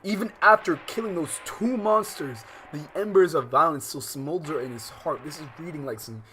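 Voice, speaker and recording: A man's voice: a little high, normal speed, bright, forceful tone, low-quality recording. A man livestreaming a game with slangy, profane, exasperated commentary, reading some of the text aloud as he goes. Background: guitar game music.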